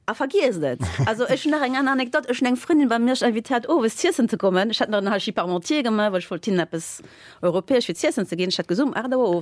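A woman, a guest in a casual interview, telling stories with lots of fillers and lively laughter. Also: mid-range voice, no room sound, clean studio sound. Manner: animated, laughing while telling anecdotes, many fillers. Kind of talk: casual storytelling.

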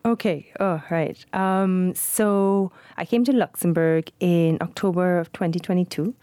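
Guest, female, forties, Trinidadian accent, lowish warm voice, dry close sound; relaxed storyteller. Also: measured pace, clear, resonant tone, dry recording. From Trinidad and Tobago. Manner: relaxed, fluent storytelling with soft fillers. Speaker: podcast guest. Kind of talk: casual interview.

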